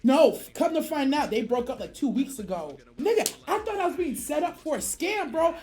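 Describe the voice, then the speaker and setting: High-pitched, animated American woman streamer. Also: brisk. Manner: animated, profane, slangy, acts out quoted dialogue. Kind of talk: casual storytelling on a livestream. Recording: music behind the voice. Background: music.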